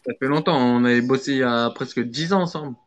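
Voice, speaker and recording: A man's voice: mid-range voice, quick pace. Emphatic man on dull lo-fi audio. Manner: emphatic, repeats phrases. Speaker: guest caller. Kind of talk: casual live chat.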